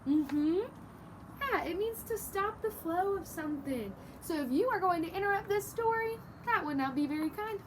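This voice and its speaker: A woman teaching young children through an interactive read-aloud, animated and exaggerated, prompting with questions and praise, with some background noise. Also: extremely high pitch, normal speed. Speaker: teacher reading a picture book aloud to young pupils.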